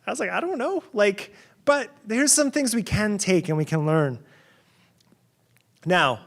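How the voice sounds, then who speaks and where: Middle-aged man from New Jersey, conference keynote, loose and sarcastic, restarts often, a touch high-pitched. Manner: conversational, sarcastic asides, frequent fillers and restarts. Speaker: keynote speaker.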